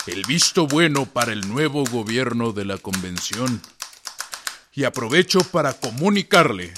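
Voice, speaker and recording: A man's voice: mid-range voice, noisy background, natural voice. An actor playing a formal Mexican revolutionary general reporting to his chief in a radio drama, with a Mexican accent. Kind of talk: radio drama acting, reading out a dispatch. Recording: clear typewriter clatter behind the voice.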